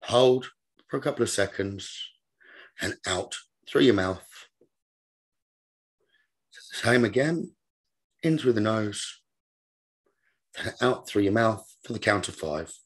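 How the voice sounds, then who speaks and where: A man leading a guided meditation as a teacher, giving gentle, repetitive instructions in a slow manner. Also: lowish voice, lively intonation, slightly rough.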